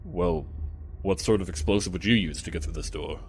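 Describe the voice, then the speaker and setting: A man's voice: sits low, fairly quick, slight background noise. A man playing a skeptical, dryly musing alien soldier on guard duty in an amateur audio drama, talking in leading questions.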